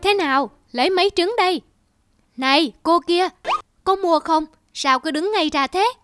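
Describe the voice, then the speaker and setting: A woman playing an impatient street seller in a cartoon, loud, brusque and pushy. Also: extremely high pitch, moderate pace, soft background music. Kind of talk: cartoon voice acting.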